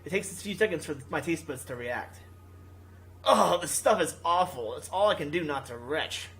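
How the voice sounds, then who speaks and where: A man's voice: fairly high pitch, animated, normal speed, fairly bright tone. Man narrating a visual novel, swinging intonation. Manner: stumbles over lines and rereads them. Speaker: gaming video host. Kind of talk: reading a visual novel aloud, voicing characters.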